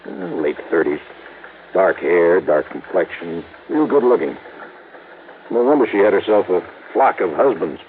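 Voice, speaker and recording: A man's voice: sits low, animated, a little slow. An actor playing a blunt, plain-spoken male police sergeant in an old radio drama. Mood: matter-of-fact. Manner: plain-spoken, matter-of-fact. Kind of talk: 1950s radio drama acting. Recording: muffled old radio broadcast with hiss.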